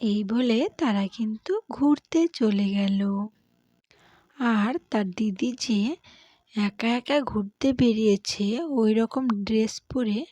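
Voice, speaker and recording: Female storyteller for cartoon narration, mellow tone, informal spoken delivery, dry sound. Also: fairly high pitch, slow pace, dry recording.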